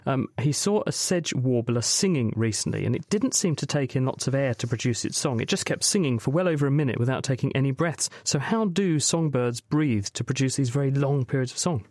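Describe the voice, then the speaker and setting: A man hosts a science radio show, moving briskly from one question to the next with a wry touch. His voice sits in the middle of the usual range for men. It is recorded close and dry in the studio. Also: medium pitch, lively intonation, darkish tone, no echo. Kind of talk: casual radio science chat.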